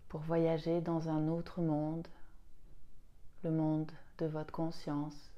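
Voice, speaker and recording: A woman leading a guided relaxation meditation as a yoga nidra teacher, soothing and unhurried, with long pauses and a soft, at times breathy voice. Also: low-pitched, monotone, highs cut off.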